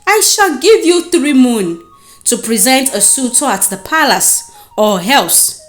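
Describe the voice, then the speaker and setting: A woman voicing a solemn male village king in a commanding, deliberate manner for a folktale. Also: sits high, animated, faint music behind. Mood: stern.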